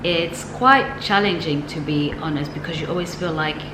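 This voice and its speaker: Low-voiced woman vlogging in an echoey room. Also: moderate pace. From from the West, now living in Ghana. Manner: reflective, chatty, laughs lightly, frequent ums and likes. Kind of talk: casual talking-to-camera vlog. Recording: echoey room with noticeable background noise.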